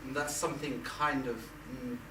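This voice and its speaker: Male moderator, halting and dry, far from the mic in a noisy, echoing hall. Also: medium pitch, steady tone. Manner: hesitant, filler-heavy, dry asides. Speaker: panel moderator. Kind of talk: panel discussion.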